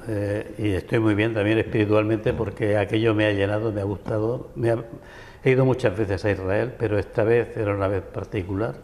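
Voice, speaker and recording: A man with a Peninsular Spanish accent speaks as a TV interview guest in a low, gravelly voice. His answers wander at length, full of restarts and asides. A long reverb hangs around him in the studio. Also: steady tone, brisk, a little mellow. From Barcelona, Spain. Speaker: guest, writer and architect. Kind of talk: TV interview conversation. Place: local TV studio.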